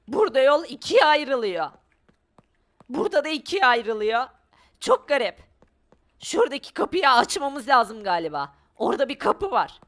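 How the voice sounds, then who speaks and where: A woman with a very high, lively voice that swings widely in pitch. She commentates on a video game with excitement, exclaiming often and thinking out loud to her audience. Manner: animated, exclaiming, thinks aloud. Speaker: gaming video creator. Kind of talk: excited gameplay commentary for viewers.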